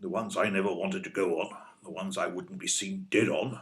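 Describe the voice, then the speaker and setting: An actor playing a blunt, stubborn older British husband in an audio play, speaking in a dry, matter-of-fact way. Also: male voice, deep voice, fairly even intonation, moderate pace, a bit bright. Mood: grumpy, teasing. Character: blunt, grumpy older husband wanting his freedom.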